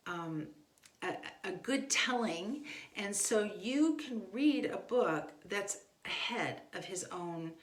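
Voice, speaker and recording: A middle-aged woman gives an informal instructional talk, speaking conversationally and leaning on repeated words for emphasis. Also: medium pitch. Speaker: homeschool instructor. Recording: echoey, roomy sound.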